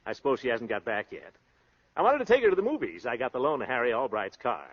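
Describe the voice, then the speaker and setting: An actor playing a boastful, breezy American husband, a glib, slangy schemer in a 1930s radio comedy-drama. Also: male voice, medium pitch, sing-song, fast. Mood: breezy, cocky. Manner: glib, pompous, peppered with slang and nicknames. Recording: dull lo-fi old radio recording.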